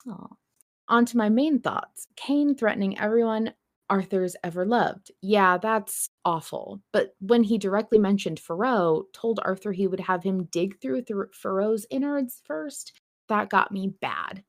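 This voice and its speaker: A woman's voice: mid-range voice, a little slow. A woman podcast host chatting casually and reading out listener messages.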